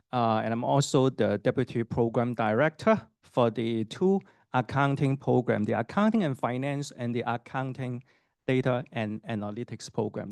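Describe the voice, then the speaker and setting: A man's voice: mid-range voice, creaky, a little mellow, no room sound, clean studio sound. A middle-aged man from Hong Kong, an associate professor giving a university admissions talk to students and parents. He speaks conversationally, puts questions to the audience and keeps adding fillers and "right?" tags.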